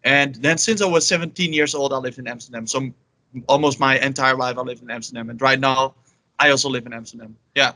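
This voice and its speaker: Dutch guy, young adult, loose chatty interview answers full of fillers, bright tone, dry close sound. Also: medium pitch, steady tone, fast talker, no room sound. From Netherlands. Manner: rambling, many fillers like "you know" and "like". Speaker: guest, language learner.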